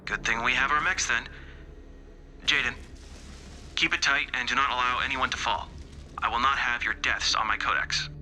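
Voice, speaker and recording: A man's voice: mid-range voice, steady tone, normal speed, long pauses, a little hiss or hum. A man playing a determined, wry mech pilot leading his squad in a game cutscene, conversational but military. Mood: focused. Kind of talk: video game cutscene acting. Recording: loud music and explosions behind.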